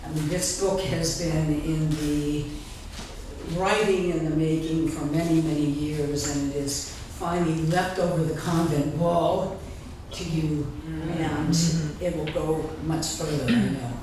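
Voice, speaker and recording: A woman giving a live literary reading, deliberate and dramatic, pausing between short phrases. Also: low voice, fairly even intonation, warm tone, far from the mic. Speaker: author reading at a literary event. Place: indoor reading event before an audience. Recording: echoey room from afar, faint noise.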